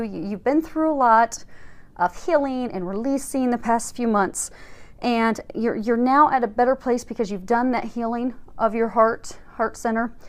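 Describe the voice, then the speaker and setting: A woman hosting a casual, conversational spiritual tarot reading, speaking to viewers in a reassuring, encouraging way. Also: on the high side. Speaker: tarot card reader and host.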